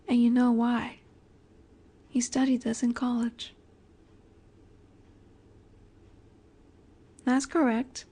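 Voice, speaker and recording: Soft, slow-speaking vampire seductress, acted by a woman. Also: fairly high pitch. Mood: hushed, wistful. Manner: soft, breathy, measured, often dropping to a whisper. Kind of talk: intimate scripted audio roleplay.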